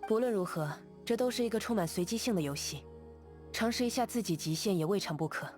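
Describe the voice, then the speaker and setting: An actress voicing a calm, composed young woman duelist in a game, speaking in a steady, measured, quietly confident way. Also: mid-range voice, soft background music, natural voice. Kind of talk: game character voice lines.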